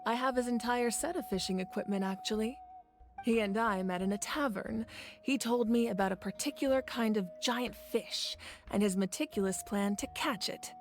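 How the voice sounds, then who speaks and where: An actress playing a composed, proud warrior woman in a video game, recounting tales in a measured, thoughtful way. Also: a little high, soft background music, lightly acted. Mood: gracious, informative. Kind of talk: video game story dialogue.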